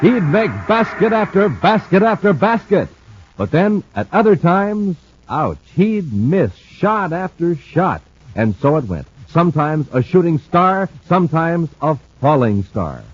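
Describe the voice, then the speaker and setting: A man announcing a vintage radio show and reading its ads with an energetic sales pitch. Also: a little slow, slight background noise. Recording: dull old radio recording.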